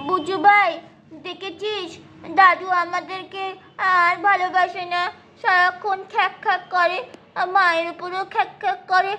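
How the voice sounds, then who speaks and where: A woman's voice: very high-pitched, pushed and bright, a little hiss or hum. A woman voicing a small, plaintive child doll in a cartoon puppet story. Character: small child doll complaining about cross grandfather.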